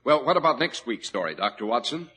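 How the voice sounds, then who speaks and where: A man working as a radio announcer, with a smooth, formal delivery. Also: medium pitch, very animated, fast, sharp tone, low-quality recording. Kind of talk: 1940s radio announcing and commercial. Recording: old radio broadcast with music behind.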